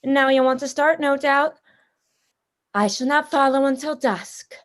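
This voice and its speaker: Radio drama villain played by a woman: genteel female general who hunts people, very high voice, grandly polite and toying. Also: unhurried. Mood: smug courtesy. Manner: pompous, theatrically polite, toying. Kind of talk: radio play acting.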